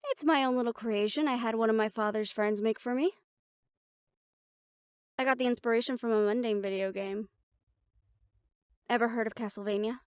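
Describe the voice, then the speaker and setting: A woman's voice: fairly high pitch, steady tone, normal speed, long pauses, clean tone, dry recording, clean studio sound, lightly acted. A woman playing a confident, playful siren-banshee warrior in a conversational audio roleplay, talking intimately to the listener. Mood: proud, amused.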